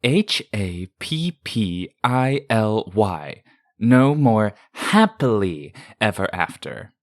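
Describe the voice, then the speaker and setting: Male actor for patient, tired clerk in comic dub. Slow, didactic, expressive intonation. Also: on the low side, heavily acted. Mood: exasperated, instructing. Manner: deliberate, didactic.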